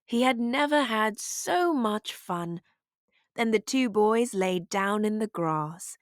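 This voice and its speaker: Female read-aloud narrator for kids' storybook, animated intonation, deliberate slow pacing. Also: mid-range voice, dry recording, clean studio sound.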